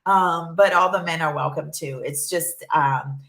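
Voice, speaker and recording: Low-voiced Brazilian-born woman, echoey room. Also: measured pace, creaky. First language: Portuguese. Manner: animated, chatty, many fillers and restarts. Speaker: guest, journalism professor. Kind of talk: casual interview chat.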